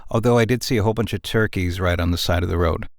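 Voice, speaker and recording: A man from the United States co-hosting a podcast, chatting casually in a wry, unhurried manner. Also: low-pitched, no room sound, studio quality. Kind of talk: casual chat.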